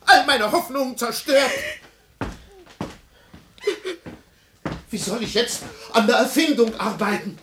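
An actor playing an aggrieved, self-pitying middle-aged man with melodramatic, halting delivery in a 1950s radio play. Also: extremely high pitch, noisy background. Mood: anguished reproach. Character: aggrieved, self-pitying married man, theatrically wounded and proud.

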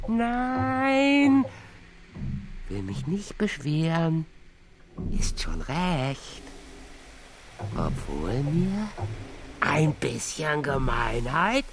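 A man's voice: on the high side, heavily acted. An actor playing an ancient, spiteful, scornful old man in a German radio drama, theatrical and volatile. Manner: theatrical, swinging from exclaiming to hissed whispers.

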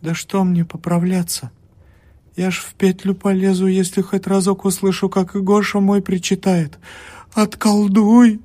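A man reading an audiobook, voicing a feverish, frightened peasant woman who pleads in a shrill, agitated voice. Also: steady tone, unhurried, darkish tone, lightly acted. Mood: pleading, terrified.

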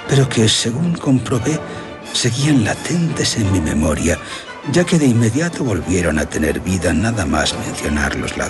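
A man playing an aging aristocratic general in a radio drama, telling his tale in a formal, measured, theatrical way. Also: on the low side. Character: aging aristocratic general recounting a haunting memory, courtly, insistent. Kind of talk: Spanish radio drama, literary narration and dialogue. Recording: radio drama with music underneath.

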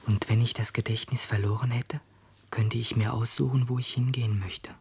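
An actor playing a brooding, blunt teenage boy in a radio play, speaking low-key, hushed and terse. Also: fairly even intonation, normal speed, vocal fry, husky. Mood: brooding, insistent. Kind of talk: intimate radio play dialogue.

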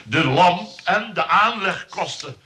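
A man's voice: high voice, expressive, takes it slowly, sharp tone, a little hiss or hum, heavily acted. A man acting a fussy older shopkeeper in a Dutch radio comedy, theatrical, repeating words, swinging between bluster and fawning. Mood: indignant.

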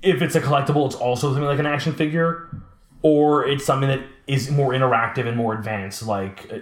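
Man, late twenties, rapid-fire casual talker, animated storyteller, echoey room sound. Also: mid-range voice. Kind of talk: casual chat about collectibles. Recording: roomy, echoing room sound.

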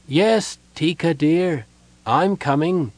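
A man voicing a big, gentle old innkeeper, fatherly and frail, speaking softly and haltingly with a breathy, earnest delivery. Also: a little high, no room sound, pitched above the performer's own voice. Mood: tender.

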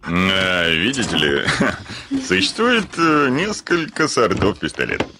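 An actor playing a hot-tempered, bombastic landowner who is smitten despite himself, in a classic comedy radio play. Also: male voice, on the low side, wildly swooping pitch, takes it slowly, noisy background, exaggerated voice. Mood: flustered, instructing. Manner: bombastic, volatile, exclamatory, sputtering.

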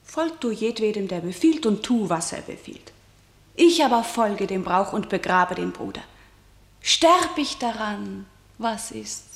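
A woman playing a defiant, grieving sister in a classical tragedy radio play, delivering verse in a solemn, stylized way. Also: on the high side. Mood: resolute. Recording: echoey room sound.

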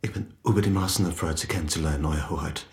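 An actor playing a brisk, cheeky newspaper reporter in a radio play. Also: male voice, very deep voice, steady tone, creaky. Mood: deferential.

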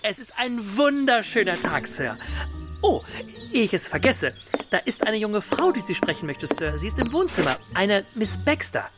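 A cheerful housekeeper played by a woman in a radio play. Her medium-pitched voice rises and falls brightly in chatty, deferential phrases, with music clearly underneath. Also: big pitch swings, normal speed, natural voice. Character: chirpy, familiar female housekeeper, attentive and chatty. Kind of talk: German radio crime drama acting.

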